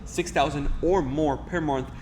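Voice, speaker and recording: A man's voice: on the high side, fairly even intonation, a little mellow, slight background noise. Young Dominican man, Spanish native. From Dominican Republic. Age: 20s. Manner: fast, filler-heavy talk with many restarts. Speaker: host. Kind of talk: casual interview and tutorial talk.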